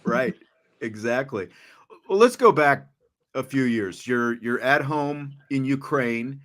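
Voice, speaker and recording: A man's voice: mid-range voice, expressive, takes it slowly, a bit bright. Chatty male sports interviewer. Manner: chatty, repeats and restarts words.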